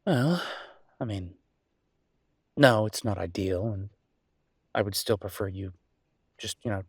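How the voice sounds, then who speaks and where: A man playing an affectionate, slightly grumbling chef boyfriend in an intimate audio roleplay, with a husky voice and a casual, teasing, halting way of talking. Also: lowish voice, no room sound, studio quality. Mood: tender, reassuring.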